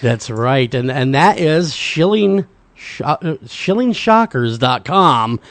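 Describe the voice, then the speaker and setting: Male host, animated and high-pitched, dry close sound, jokey and upbeat. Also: slow pace, no room sound. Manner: upbeat, joking, stammering restarts. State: gravelly voice tonight. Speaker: radio show host. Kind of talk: casual interview chat.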